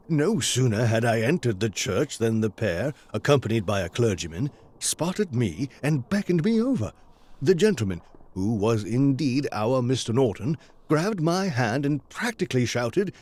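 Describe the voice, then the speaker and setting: Earnest victorian gent, loyal sidekick type, super expressive up and down delivery, audio drama. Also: male voice, medium pitch, unhurried. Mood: flustered, amused. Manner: formal, expressive storytelling diction. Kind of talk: period audio drama acting.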